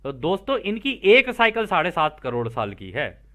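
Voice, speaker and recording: A man's voice: a little high, wildly swooping pitch, normal speed, pushed and bright. A man with an Indian accent giving informal spiritual commentary, reading passages aloud and explaining them conversationally to listeners he treats as friends, often rephrasing his points. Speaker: presenter explaining a translated spiritual text.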